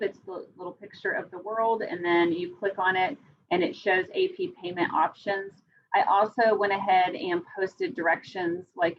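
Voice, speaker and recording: A woman's voice: mid-range voice, a little hiss or hum. Woman explaining school procedures, lo-fi. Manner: explanatory, frequent "um"s. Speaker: school test coordinator. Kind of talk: interview.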